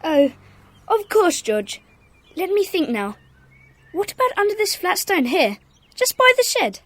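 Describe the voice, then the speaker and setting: A woman playing a brisk, sensible schoolboy club leader in a children's audio drama. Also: extremely high pitch, sing-song, a bit bright, heavily acted. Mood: thoughtful, brisk.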